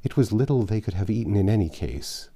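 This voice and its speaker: A man's voice: lowish voice, animated, a little fast, dark tone. A man reading an audiobook as a grieving, starving peasant mother, telling of hardship in impassioned, archaic speech. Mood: anguished. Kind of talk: dramatic audiobook character reading.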